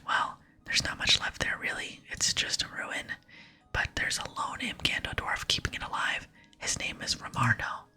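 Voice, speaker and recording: A woman voicing a polite, eager young female game adventurer in a soft, earnest whisper. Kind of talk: whispered reading of game dialogue. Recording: close whisper with music behind.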